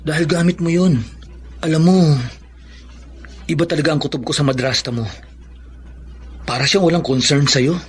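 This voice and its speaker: An actor playing a protective, insistent young boyfriend in a radio drama. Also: male voice, fairly high pitch, steady tone, normal speed, long pauses, a little hiss or hum, natural voice. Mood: indignant, concerned. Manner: earnest, insistent. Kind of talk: Filipino radio drama acting.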